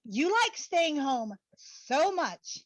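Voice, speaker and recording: Casting: actress as dramatic young bestie, needy and blunt, very animated high voice, comedic stage reading. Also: female voice, very slow, dry recording, full character voice. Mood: blunt, teasing. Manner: exclamatory, dramatic, valley-girl slang. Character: Brooklyn, gushing, needy, blunt young married best friend. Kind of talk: online play reading, comedic dialogue.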